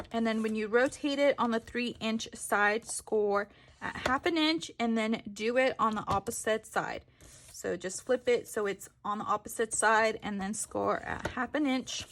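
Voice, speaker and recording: A woman's voice: a little high, fairly bright tone. A woman giving a casual step-by-step craft tutorial, informal, correcting herself and thinking aloud as she goes.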